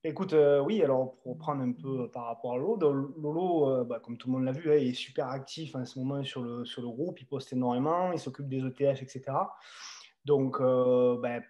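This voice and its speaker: A man's voice: mid-range voice, brisk, dark tone, muffled recording. A man from the south of France with a Southern French accent, hosting a casual market chat, hesitating and repeating words. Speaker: podcast host discussing the stock market.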